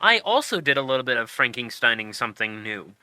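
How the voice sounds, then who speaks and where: Sniffly dude hosting a podcast, super bright tense voice, bouncy pitch. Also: male voice, big pitch swings, quick pace, smooth, no echo. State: sniffly, congested. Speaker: podcast host. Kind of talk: casual chat.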